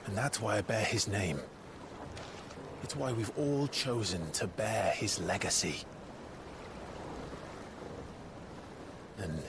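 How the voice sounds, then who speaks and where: A man's voice: sits low, background noise, full character voice. An actor, in his thirties, playing a brooding, resolute male rebel leader in a dramatic video game cutscene. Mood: impassioned, determined.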